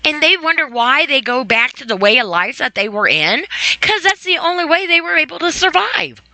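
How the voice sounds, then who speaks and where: Bright-voiced woman podcaster, close and dry. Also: high-pitched, expressive, normal speed, no echo. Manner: emphatic, repeats phrases for stress. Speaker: podcast host. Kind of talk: informal opinionated podcast monologue.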